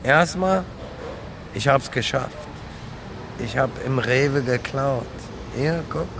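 A man's voice: medium pitch, takes it slowly, vocal fry. An actor playing a hapless, guileless homeless man and petty thief in a naturalistic radio drama, talking in a plain, naive way. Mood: proud, pleased. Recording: noisy outdoor street with traffic.

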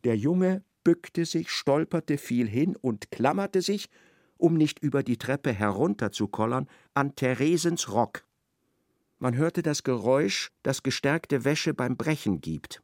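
An actor narrating a literary radio play in a precise, measured way. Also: male voice, medium pitch, expressive, darkish tone, no echo, studio quality. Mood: measured narration.

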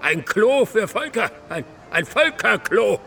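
A man's voice: high voice, very animated, unhurried, gravelly, sharp tone, a little hiss or hum, exaggerated voice. An actor in a German radio drama playing a distraught, guilt-ridden man, brooding and declamatory, who repeats himself in agitation. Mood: disgusted, anguished. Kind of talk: radio drama acting, literary monologue.

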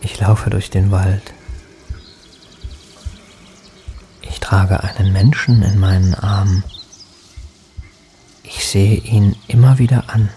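An actor voicing a sorrowful, bewildered lost soul in a poetic radio drama, speaking in hushed, halting fragments and often whispering. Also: low-pitched, fairly even intonation, soft background music. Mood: dreamy, bewildered.

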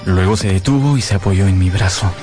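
An actor playing an earnest, lovestruck young man who narrates his past in a measured, literary radio drama style. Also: deep voice, natural voice. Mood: solemn narrating. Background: music.

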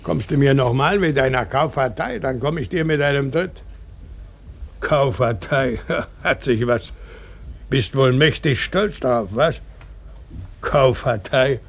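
An actor playing a blustering old whaling captain in a German radio play, gruff, bullying and mocking. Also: male voice, medium pitch, slow, pauses often. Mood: mocking, aggressive.

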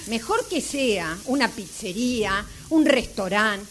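A woman's voice: fairly high pitch, animated, takes it slowly. A woman with an Argentine accent playing a fed-up, complaining travel companion in an amateur radio drama. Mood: indignant, fed up. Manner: complaining, repetitive, indignant. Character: exasperated, hungry, freezing woman traveling companion. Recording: noisy recording with hissy ambience.

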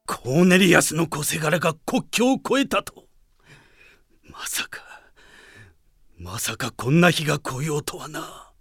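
An actor playing an old general, fearful and blustering, with a gravelly, husky voice, in a radio drama. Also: male voice, fairly high pitch, expressive, unhurried, long pauses, no echo, clean studio sound, exaggerated voice. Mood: anxious brooding. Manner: old man's speech, agitated.

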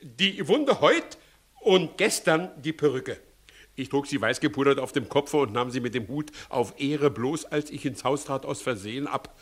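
An actor playing a blustering, scheming village judge in a theatrical 1960s German radio comedy, given to exclamations. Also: male voice, mid-range voice, lively intonation, normal speed, natural voice. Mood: indignant, blustering. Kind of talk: 1960s German radio play acting, classical stage comedy.

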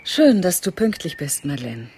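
An actress playing a self-assured, patronizing zoo director in a German radio drama, declamatory and condescending. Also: female voice, fairly low pitch, sing-song, normal speed, heavy background noise. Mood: brisk, confiding. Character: Andrea Burden, grandly self-assured, patronizing woman running a zoo project.

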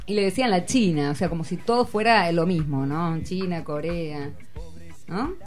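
A woman's voice: lowish voice, moderate pace, soft background music. A woman with an Argentine accent hosting a school radio show, coaxing children with encouraging questions. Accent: Argentine Rioplatense Spanish. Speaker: radio host talking with schoolchildren. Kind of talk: casual classroom radio chat.

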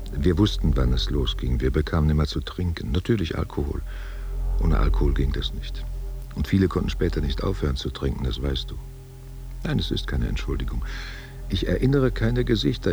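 An actor playing a guilt-haunted war veteran confessing to his lover in a halting, self-questioning monologue. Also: rumbling low, vocal fry, darkish tone, heavily acted. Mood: brooding, weary. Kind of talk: literary audio drama monologue.

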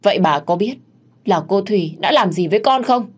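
A woman voicing a stubborn young housemaid in an audio story, talking back defiantly with a sharp tongue. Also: lowish voice, expressive, a little fast. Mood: indignant. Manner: retorting, stubborn.

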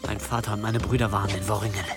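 A man's voice: on the low side, little pitch movement, rapid-fire, over music, close to an everyday voice. An actor in a radio play voicing a bitter young medieval man bent on revenge, intense and defiant. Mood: grim, quiet.

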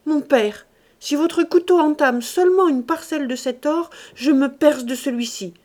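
A woman's voice: fairly high pitch, unhurried, dark tone, close to an everyday voice. A woman voicing a desperate, defiant young daughter in an audiobook, imploring her father emphatically.

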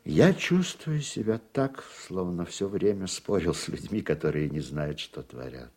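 An actor playing a weary, thoughtful older judge in a radio play, speaking in a reflective, deliberate way. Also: male voice, deep voice, lively intonation, a little mellow, husky, exaggerated voice. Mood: troubled, reflective. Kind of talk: Russian radio play acting.

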